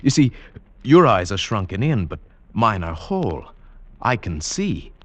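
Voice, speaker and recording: A man's voice: fairly low pitch, very animated, unhurried, no room sound. An actor playing an eager, self-assured mountaineer telling his adventure in theatrical vintage radio drama style. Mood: insistent. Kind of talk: vintage radio drama acting, narration and dialogue. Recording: vintage radio broadcast with music behind.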